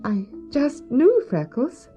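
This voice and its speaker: Woman acting a kind rich church lady, soft mellow tone, very lilting, old muffled tape. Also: high voice, wildly swooping pitch, slow, exaggerated voice. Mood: devout. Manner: tender, gently earnest. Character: kindly, pious, wealthy lady. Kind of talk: audio drama acting. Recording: muffled old cassette drama, faint music.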